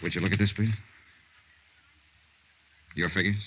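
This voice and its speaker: An American actor playing a brisk, hard-nosed insurance investigator and narrator in a 1950s radio serial, with a clipped, dry, businesslike delivery. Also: male voice, low voice, steady tone, background noise. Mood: businesslike, probing. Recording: muffled 1950s radio recording.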